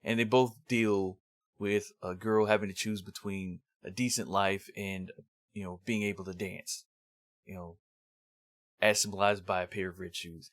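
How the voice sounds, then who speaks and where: A man's voice: low-pitched, fairly even intonation, moderate pace, a bit bright, no echo, clean studio sound. A man presenting a review in semi-scripted narration with casual, rambling asides. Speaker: host of a manga review series.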